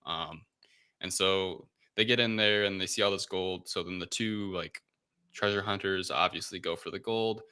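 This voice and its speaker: The deep-voiced guy at the head of a movie podcast, feeling his way through a recap in a sharp, forward tone. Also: steady tone, normal speed, clean tone. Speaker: podcast host. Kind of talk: casual film review discussion.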